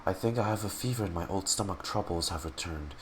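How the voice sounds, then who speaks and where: A man who streams games, reading in-game text aloud dramatically and haltingly and muttering his reactions. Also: low voice, slight background noise.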